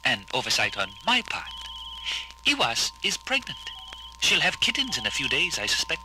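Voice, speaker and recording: A man's voice: a little high, wildly swooping pitch, moderate pace, loud music behind the voice, rough recording. An actor playing a polite male alien ambassador, speaking in a courteous, diplomatic, formal way.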